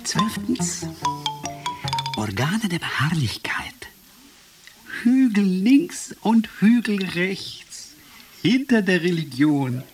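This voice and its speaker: An actress playing a cool, clinical examiner who dictates findings in a clipped, deliberate, partly whispered way in a radio drama. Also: female voice, on the low side, big pitch swings. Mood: clinical, intent. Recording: loud music behind voice. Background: music.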